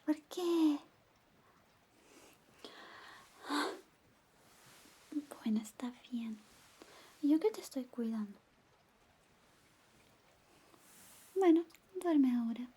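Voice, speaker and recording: A woman as possessive young female friend, pleading ASMR whisper, high-pitched, soft dark tone. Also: expressive, measured pace. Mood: jealous, coaxing. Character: clingy, jealous young female best friend in love with listener. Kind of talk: whispered ASMR roleplay in Spanish.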